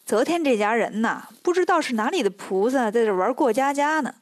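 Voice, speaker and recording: A woman voicing a cheeky, sardonic male monkey disciple in a storybook tale, brisk, teasing and dismissive. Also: fairly high pitch, expressive, dry recording, lightly acted. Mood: knowing, mocking.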